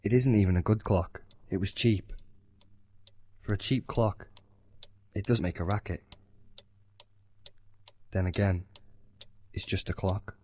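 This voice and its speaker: A man's voice: deep voice, measured pace, pauses often, darkish tone, slight background noise. An actor playing a guilt-ridden, sleepless young man in an audio horror drama. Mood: weary, brooding.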